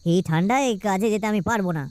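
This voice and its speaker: A woman's voice: mid-range voice, quick pace, a little mellow, no echo, lightly acted. A woman voicing a lazy, grumbling village husband in a cartoon. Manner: whining, grumbling. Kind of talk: cartoon voice acting.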